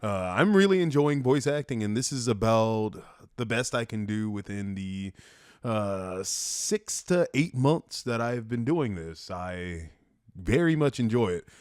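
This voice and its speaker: A man's voice: lowish voice, expressive, unhurried, clear, resonant tone, no echo, clean studio sound. A man talking casually and earnestly to listeners, an amateur voice actor with a modest manner. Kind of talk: casual closing address to listeners.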